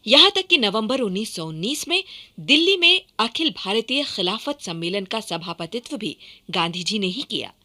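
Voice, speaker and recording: Female radio narrator, formal scripted delivery, earnest, bright and fairly high-pitched.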